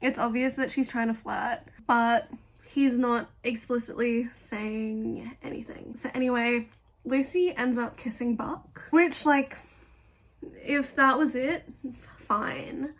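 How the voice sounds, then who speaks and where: A young woman talks through her fan commentary in a gravelly, croaky voice that is still hoarse after being ill. Her pitch sits a little high, and she speaks bluntly and casually, with plenty of fillers. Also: fairly even intonation, slow. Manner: chatty, blunt, swears casually, many fillers. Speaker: video essayist.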